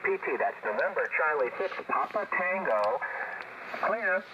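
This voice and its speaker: A man's voice: a little high, very animated, a little slow. A man talking casually and lightly as an amateur radio operator over a shortwave link. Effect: shortwave ham radio transmission. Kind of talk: casual, jokey radio chat. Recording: distant, dull, very noisy radio signal.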